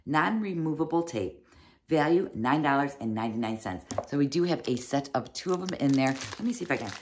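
A woman's voice: low-pitched, expressive, fairly quick, a little mellow, slight background noise. A middle-aged woman casually unboxing and reviewing products, chatty and fond of saying "little" and "like", seated at a desk in a small cozy room. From United States. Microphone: fixed camera, seated at desk.

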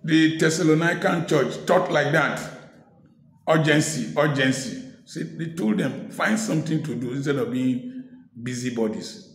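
A middle-aged man from Africa preaching to the camera in an emphatic way, repeating key words and adding sharp humor. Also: on the high side, unhurried, vocal fry, roomy sound. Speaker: preacher and speaker. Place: indoor room at home, seated in office chair.

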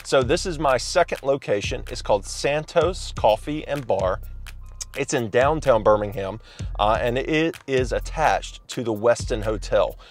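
A man in his thirties, loud and chatty, reviewing a coffee shop from inside a parked car and talking to a phone camera held at arm's length. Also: medium pitch, lively intonation, a little slow. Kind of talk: casual vlog review.

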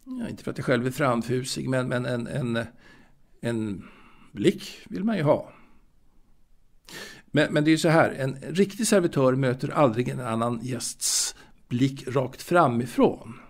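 An actor playing a fastidious, proud waiter and former seaman in an audio drama monologue, confiding in a halting, repetitive way. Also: mid-range voice, close to an everyday voice. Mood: prim, aggrieved. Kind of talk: intimate dramatic monologue, told to a listener.

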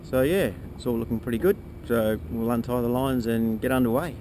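A man, giving a relaxed, halting casual update as a sailing vlogger, recorded on a handheld camera outdoors. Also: medium pitch. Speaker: sailing vlogger, boat skipper. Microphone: camera held at arm's length. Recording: outdoor wind and boat noise.

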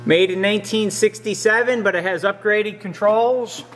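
A man's voice: high-pitched, normal speed, a bit bright, big-room sound, a little hiss or hum. A man giving a plain, matter-of-fact demo of a machine in a large indoor machine shop, recorded on a handheld camera. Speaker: machinery dealer. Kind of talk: casual product demo.

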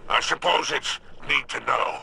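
Gravelly, greedy alien crime boss. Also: male voice, fairly high pitch, fairly even intonation, unhurried, pushed and bright, slight background noise, heavily acted. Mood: sly, wry. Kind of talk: scripted game character dialogue. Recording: music under the voice.

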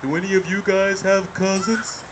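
A man's voice: high-pitched, level tone, normal speed, voice pushed up, lightly acted. A man voicing a laid-back, contented turtle in a children's puppet show. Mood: easygoing. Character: slow, easygoing male turtle, content and unhurried. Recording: noisy outdoor amplified puppet show.

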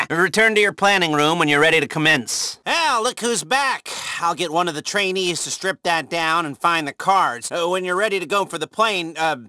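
A chatty, excitable man who organises heists in a video game. He rambles through briefings with nervous eagerness in a rather high voice, recorded very dry. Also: normal speed, clear, resonant tone, no echo. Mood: brisk, businesslike.

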